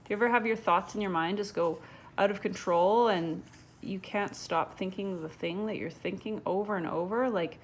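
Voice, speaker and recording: A woman reading a picture book aloud to children in a casual, conversational way, pausing to put questions to the listener. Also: mid-range voice, a little hiss or hum. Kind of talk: casual read-aloud with chatty asides to young viewers.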